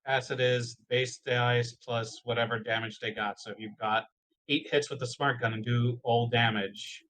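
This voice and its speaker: A man's voice: mid-range voice, fairly even intonation, normal speed, fairly bright tone. A man in casual game chat as a tabletop player, with an explanatory way of talking.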